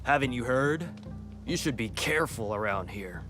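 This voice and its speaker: A man's voice: medium pitch, expressive, normal speed, over music, natural voice. A man playing a cocky thief who poses as a demon in a video game, taunting and flirtatious. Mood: teasing, menacing. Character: cocky, teasing male thief posing as a demon. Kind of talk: playful video game villain acting.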